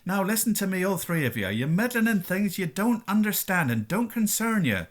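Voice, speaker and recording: A man voicing a gruff, hot-tempered, protective groundskeeper in a storybook, speaking emphatically and colloquially. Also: high voice, big pitch swings, brisk, fairly bright tone, pitched above the performer's own voice, exaggerated voice. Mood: heated warning.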